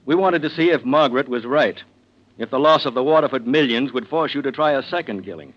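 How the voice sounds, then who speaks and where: An actor playing a composed, authoritative police chief in a 1940s radio mystery, speaking formally and with measure. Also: male voice, mid-range voice, brisk, close to an everyday voice. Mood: stern, composed. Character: stern, composed police chief closing a murder case. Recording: dull vintage radio recording.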